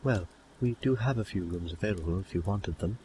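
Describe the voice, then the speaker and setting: A man's voice: low voice, a little fast, warm tone, a little hiss or hum, close to an everyday voice. A man playing a polite, slightly bemused tavern keeper in a fantasy audio drama, businesslike and a little hesitant.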